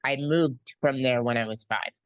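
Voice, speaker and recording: A man from New York City interviewing a guest, speaking haltingly with many ums and pauses. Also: medium pitch, a bit bright, muffled recording. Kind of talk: casual conversational interview.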